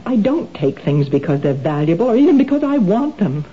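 Casting: actress as a nervous, cornered housewife with a lively rising and falling voice, 1970s radio play, dull lo-fi broadcast sound. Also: female voice, mid-range voice, a little fast, close to an everyday voice. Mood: pleading. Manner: nervous, pleading, defensive. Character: anxious woman cornered by thieves. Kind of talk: 1970s radio drama acting. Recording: dull lo-fi old radio broadcast, faint hiss.

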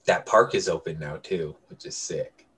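A man's voice: deep voice, normal speed, a bit bright, breathy edge. A young adult man telling a story casually to friends, rambling and correcting himself as he goes. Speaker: podcast co-host telling a story.

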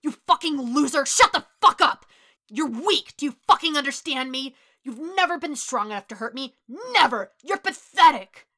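A woman voicing a furious, foul-mouthed teenage boy bully in a dramatic story reading, shouting and snarling with venom. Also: high voice, expressive, normal speed, voice pushed up, heavily acted. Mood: roaring rage. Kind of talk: dramatic fan fiction reading.

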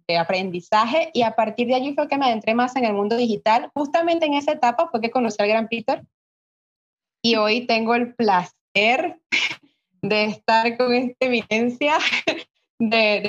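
Venezuelan woman, higher voice, dull audio. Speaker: podcast guest, online marketer. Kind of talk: casual conversational interview. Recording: dull, lo-fi online call audio.

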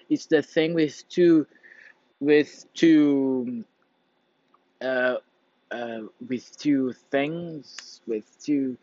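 Halting young Portuguese man, dull dry sound. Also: mid-range voice, a little mellow, no echo. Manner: halting, searching for words, many restarts. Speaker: vlogger. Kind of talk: casual rambling monologue.

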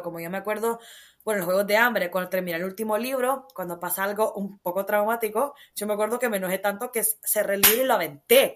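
A young woman from Honduras with a Honduran accent, a guest author telling stories in an animated, casual interview. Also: mid-range voice. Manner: animated, storytelling with many fillers.

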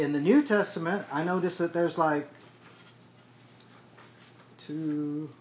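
A man's voice: fairly high pitch, a little mellow. A man leading a small-group Bible study, explaining word meanings conversationally and often checking in with "okay?" and "right?".

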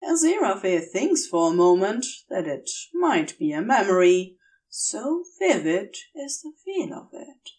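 A woman reading a story aloud as scripted narration, careful and measured, with expressive delivery. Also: mid-range voice, recorded from a distance, poor audio. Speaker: fan fiction audiobook reader.

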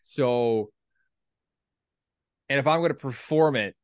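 A man in his thirties talking through writing ideas casually, in a halting, reflective way with some salty language. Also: medium pitch, clean tone, no room sound, clean studio sound. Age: 30s. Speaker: writer and performer, military veteran. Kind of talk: casual creative discussion.

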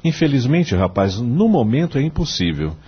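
A muffled radio drama recording of a genial old law professor. His formal voice is low and very gravel-rough, rising and falling with animation. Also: big pitch swings, normal speed. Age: older.

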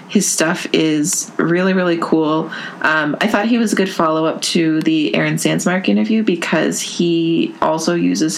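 Gravelly, lively woman co-host. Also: sits low. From New York. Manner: easygoing, agreeable, quick to laugh. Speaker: podcast co-host and interviewer. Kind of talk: casual chat.

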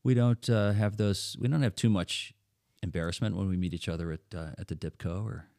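An older man from the Netherlands, a pastor chatting as a podcast guest, telling stories in an animated, jokey way. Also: low-pitched, brisk, no room sound, clean studio sound. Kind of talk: casual interview chat.

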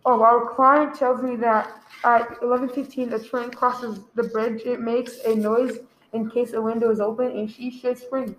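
Curt criminal go-between, played by a woman: high-ish, businesslike, radio drama, dull echoey sound. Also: flat delivery, moderate pace, a little hiss or hum, natural voice. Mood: cold, instructing. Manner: curt, instructing. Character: cold, businesslike male criminal boss giving orders. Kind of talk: radio play acting. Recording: dull, echoey room recording.

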